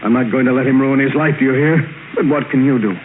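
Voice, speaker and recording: A man's voice: mid-range voice, steady tone, fast, lightly acted. A man playing an obsessive middle-aged father plotting murder, intense and emphatic, in 1940s radio drama style. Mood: coldly resolved. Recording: noisy, tinny 1940s radio recording.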